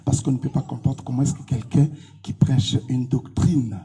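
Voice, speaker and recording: Muffled, husky Congolese man preaching. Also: medium pitch, normal speed, dark tone. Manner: emphatic, repetitive short declarations. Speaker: pastor being interviewed. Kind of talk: interview answers with preaching.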